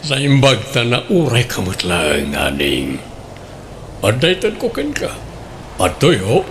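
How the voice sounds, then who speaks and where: An actor playing a smooth-talking, flirtatious customer in a comedic radio drama, speaking in a teasing way. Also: male voice, mid-range voice, wildly swooping pitch, takes it slowly, background noise, exaggerated voice.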